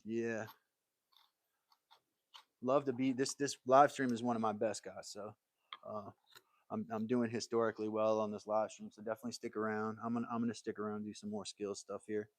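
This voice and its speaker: A man's voice: fairly low pitch, level tone, a little fast, dry recording. A man hosting a casual woodworking livestream from his workshop, talking in an easygoing, rambling way and answering viewer comments. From United States.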